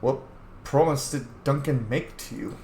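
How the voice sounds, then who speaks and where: A man's voice: medium pitch, unhurried, a little mellow. A man casually role-playing his game character and commenting as he plays, with laid-back, understated reactions. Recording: echoey room, faint noise.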